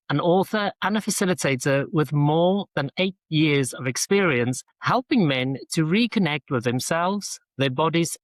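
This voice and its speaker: A man's voice: on the high side, no room sound, poor audio. A middle-aged man hosting a podcast, introducing his guest in an earnest, enthusiastic way. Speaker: podcast host. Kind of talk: scripted podcast intro and interview.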